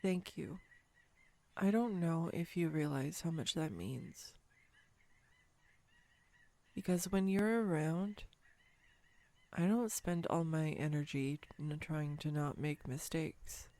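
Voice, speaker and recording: A woman's voice: low voice, takes it slowly, long pauses, warm tone. A woman playing a clumsy, sweetly self-deprecating young woman on a date, in an intimate, earnest romantic audio roleplay. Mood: sheepish, grateful.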